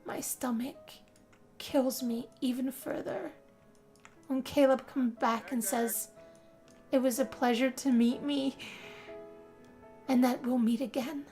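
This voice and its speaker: High voiced lady doing dramatic roleplay narration, lovestruck tired girl, kinda creaky, music under it. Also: steady tone, slow pace, long pauses, dark tone. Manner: dramatic, breathy sighing delivery. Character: lovestruck, weary young woman narrating her own story. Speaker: let's play narrator. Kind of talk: theatrical first-person role-play storytelling.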